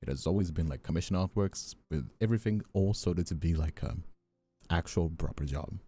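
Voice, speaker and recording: A man's voice: low voice, fairly quick, mellow, husky, faint music behind. A young man from Brazil chatting casually, telling stories in a rambling, self-deprecating way. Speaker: ASMR voice actor and streamer. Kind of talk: casual chat.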